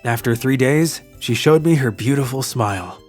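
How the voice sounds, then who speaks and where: A man playing a kind, lovelorn young traveller in an English manga dub, narrating his story and speaking in an earnest, soft-hearted way. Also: mid-range voice, normal speed, soft background music, natural voice. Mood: reflective.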